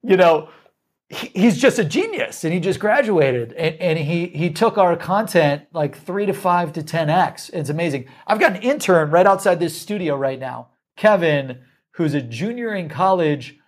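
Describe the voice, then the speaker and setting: Guest, male, 30s, fairly high voice, eager and quick, studio interview. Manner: energetic, enthusiastic, rapid lists. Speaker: sales company executive, guest. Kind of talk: casual interview answers.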